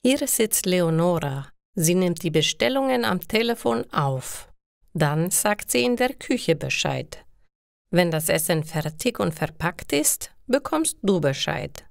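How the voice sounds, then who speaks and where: Woman reading as a friendly, slow-speaking delivery man. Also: lowish voice, big pitch swings, gravelly, no echo, studio quality, exaggerated voice. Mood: helpful, instructive. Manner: encouraging, explaining patiently. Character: friendly, helpful young man, pizza delivery worker.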